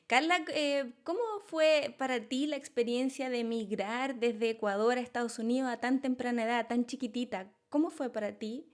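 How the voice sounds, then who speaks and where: A woman's voice: a little high, fairly even intonation, moderate pace, few pauses. A woman interviewing a guest, asking encouraging questions. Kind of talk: casual interview.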